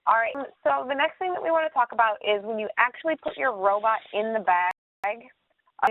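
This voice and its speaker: A woman's voice: medium pitch, no echo. Woman running a casual how-to conference call, thin phone sound, pretty dry. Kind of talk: casual instructional group call. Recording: narrow tinny phone conference line.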